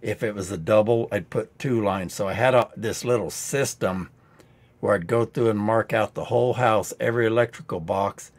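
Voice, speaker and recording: A man in his sixties hosting a live DIY training stream, going a bit hoarse, explaining tricks in a dry-humored, rambling way on a headset mic indoors. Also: on the low side, moderate pace. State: getting hoarse. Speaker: DIY channel host. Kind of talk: live-stream teaching chat. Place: indoor studio set.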